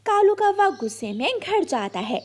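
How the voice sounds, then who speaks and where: A woman narrating a children's cartoon story in a steady, clear way. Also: extremely high pitch, normal speed.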